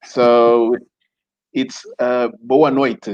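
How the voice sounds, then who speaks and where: A man's voice: mid-range voice, level tone, very slow, clear, resonant tone, no room sound, muffled recording. A man from Mozambique, hosting an online talk show in a hesitant way with many fillers and restarts. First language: Portuguese. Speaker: show host.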